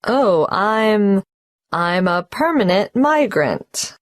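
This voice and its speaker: A hesitant, careful migrant applicant, answering an official's questions. She speaks slowly and clearly spells out numbers. The voice sounds dry and close to the mic. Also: medium pitch, very animated, no room sound. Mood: earnest. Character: hesitant female migrant applying for tax number. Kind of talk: scripted exam dialogue.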